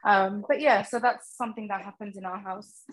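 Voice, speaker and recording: A woman's voice: mid-range voice, steady tone, moderate pace, rough recording. A middle-aged woman casually talking through a recipe as she cooks in a home kitchen. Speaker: guest cook demonstrating a recipe. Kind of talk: casual cooking demonstration.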